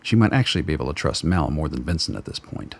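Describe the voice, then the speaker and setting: A man reading the narration of a radio play in a measured, wry storytelling manner. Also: very deep voice, lively intonation, creaky, slightly rough. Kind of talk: scripted narration.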